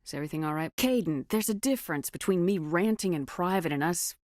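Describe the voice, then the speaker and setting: A confident military commander of a starship , played by a woman in a video game. Her voice sits on the low side and is recorded close and dry. She speaks with clipped, assured authority and a dry, teasing wit. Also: animated, quick pace, a little mellow, no echo, close to an everyday voice. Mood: measured, wry. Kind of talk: scripted video game dialogue.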